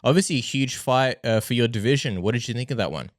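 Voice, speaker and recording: A man's voice: mid-range voice, animated, quick pace, no room sound, studio quality. A male podcast host asking questions in a loose, jokey way, full of "like" and "you know". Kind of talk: casual interview chat.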